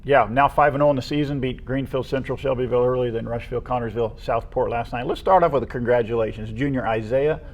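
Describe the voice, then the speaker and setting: An American man co-hosting a local high school sports show, casually running through names and statistics. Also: fairly even intonation, quick pace, few pauses.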